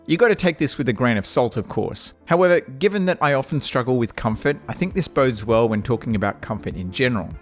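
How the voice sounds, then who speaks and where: A man's voice: medium pitch, lively intonation, faint music behind. Nasal man reviewing gear. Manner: measured, wry and matter-of-fact. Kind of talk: scripted product review narration.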